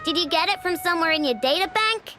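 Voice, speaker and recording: A woman voicing an excitable, cocky young girl inventor in a video game, bubbly and boastful, full of exclamations. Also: very high-pitched, fast talker, loud music behind the voice. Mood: curious.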